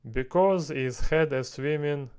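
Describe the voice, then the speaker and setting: Slow smooth guy on a headset mic, thinking out loud through drills, repeats every phrase. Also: on the high side. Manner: slow, repeats and translates phrases, mutters to himself. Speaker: language learner streaming a lesson. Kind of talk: thinking aloud while doing app exercises. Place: small room indoors.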